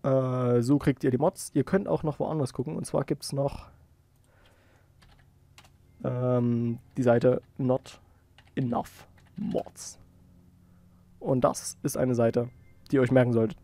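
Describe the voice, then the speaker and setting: Mellow man giving a casual tutorial. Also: mid-range voice, fairly even intonation, slow pace, vocal fry, pauses often, no room sound. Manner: informal, thinking aloud. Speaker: tutorial host. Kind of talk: casual screen-recorded software tutorial.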